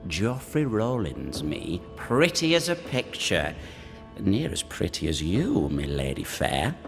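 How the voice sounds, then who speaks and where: A man voicing the flirtatious, deluded ghost of a sickly, lovesick gentleman in a theatrical, singsong video game performance. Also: deep voice, wildly swooping pitch, slow, creaky, heavily acted. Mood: flirtatious, teasing. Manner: theatrical, singsong, repeating phrases. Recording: long echoing reverb, music underneath.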